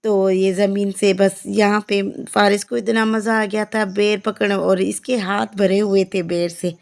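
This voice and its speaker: Hoarse Pakistani woman, creaky vlog voice-over. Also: mid-range voice, flat delivery, a little slow, a little mellow. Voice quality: heavy, croaky voice. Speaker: family vlogger.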